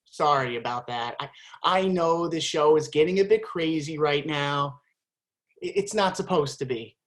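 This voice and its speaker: A woman's voice: sits low, unhurried. Flustered woman addressing the audience. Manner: flustered, breaks the fourth wall. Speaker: playwright and director.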